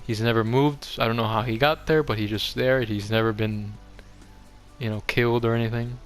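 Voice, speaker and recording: A young man with a clear, smooth voice at an average pitch guides viewers through a game world. He talks in a casual, rambling and self-deprecating way, like an offhand tour host. Music plays behind him. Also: fairly even intonation, moderate pace. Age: young adult. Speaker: gaming video host. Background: background music.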